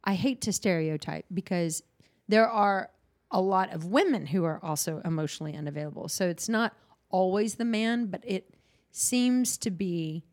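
A woman podcast host who works as a counselor, talking candidly in a halting, restart-filled way in casual chat. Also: mid-range voice, darkish tone.

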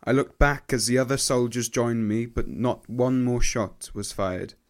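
A man's voice: mid-range voice, fairly even intonation, a little slow, close to an everyday voice. A man playing a grieving young First World War soldier in a radio play, telling his story solemnly and sorrowfully. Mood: grieving, shaken. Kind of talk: radio play dramatic monologue.

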